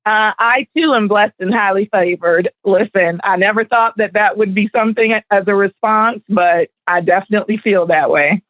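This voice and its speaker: Middle-aged woman from Barbados, relaxed storyteller, heard over a narrow phone-like line. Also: medium pitch, normal speed, hardly any pauses, dry recording. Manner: relaxed, laughing, storytelling with fillers. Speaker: guest, spoken word event organizer. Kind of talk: radio interview answers.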